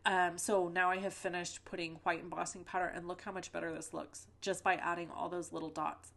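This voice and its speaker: A woman's voice: on the low side, flat delivery, brisk, vocal fry, fairly bright tone. A middle-aged woman hosting a card-making video, talking in a rambling, conversational way with lots of fillers and asides, her voice a little stuffy from a lingering cold. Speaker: card-making channel host. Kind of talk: chatty crafting tutorial voice-over with personal stories. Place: at home.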